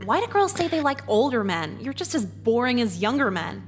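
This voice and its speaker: A jaded teenage schoolgirl from a visual novel game. Her voice is on the high side, with a dry, sarcastic and manipulative delivery. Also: a little fast, music in the background. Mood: irritated. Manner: deadpan, sarcastic.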